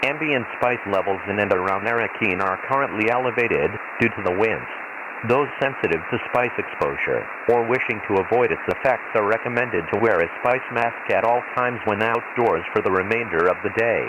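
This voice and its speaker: Male actor as sci-fi radio weather announcer: lowish pitch, formal, impersonal, measured bulletin delivery through a noisy, hissing transmission. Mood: impassive, formal. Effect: radio transmission filter. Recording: hissy, noisy shortwave-style radio broadcast.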